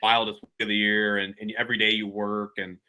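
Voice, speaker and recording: A man co-hosting a casual podcast with a very bright, forceful voice. He rambles through his stories with easy laughs and talks the way friends chat at home. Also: fairly low pitch, little pitch movement, a little fast, rough recording. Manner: rambling storyteller, laughs often. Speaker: podcast co-host.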